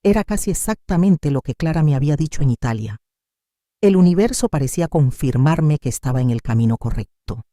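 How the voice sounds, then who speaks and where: Very dark-toned, dry-sounding Spanish-language narrator. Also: mid-range voice, animated, fairly quick, no echo. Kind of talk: scripted first-person story narration.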